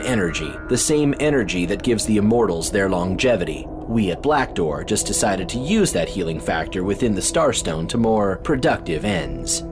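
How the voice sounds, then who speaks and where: Smug, commanding covert operative, music behind. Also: male voice, on the low side, sing-song, moderate pace, hardly any pauses. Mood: smug, calm. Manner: unruffled, condescending, authoritative. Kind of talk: full-cast audio drama acting.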